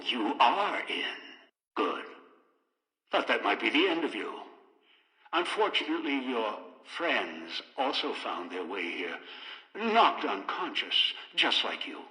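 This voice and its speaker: A man's voice: mid-range voice, sharp tone, exaggerated voice. A bitter, obsessive old male schemer from a video game. His voice is hard and piercing, coming through speakers with long hall reverb. He issues terse, menacing orders as if used to being obeyed. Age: older. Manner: terse, imperious, ominous, clipped orders. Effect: voice over radio and speakers.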